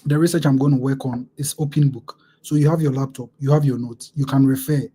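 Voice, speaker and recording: A man's voice: medium pitch, steady tone, a little fast, dark tone, breathy edge. A man from Ghana with a Ghanaian accent, hosting a casual interview, reflective and affirming, often repeating words as he thinks. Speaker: interview host.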